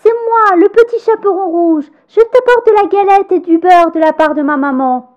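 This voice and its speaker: A woman voicing a sweet, obedient little girl in a storybook tale, high and eager. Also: normal speed, highs cut off. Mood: cheerful. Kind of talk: storybook character voice.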